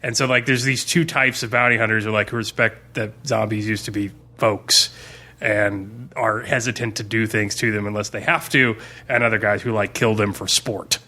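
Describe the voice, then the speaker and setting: A man's voice: lowish voice, steady tone, normal speed, pushed and bright. A man chatting casually as a podcast co-host, rambling with slangy, emphatic enthusiasm. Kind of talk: casual podcast chat about zombie fiction and comics.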